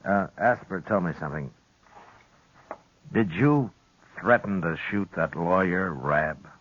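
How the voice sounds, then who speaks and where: Casting: laconic American lawman, very low husky voice, calm questioning, old-radio western, muffled sound. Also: takes it slowly, heavily acted. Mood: probing. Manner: laconic, hesitant probing questions. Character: calm, probing frontier US marshal, laconic lawman. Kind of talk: 1950s radio western acting. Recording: dull vintage radio recording.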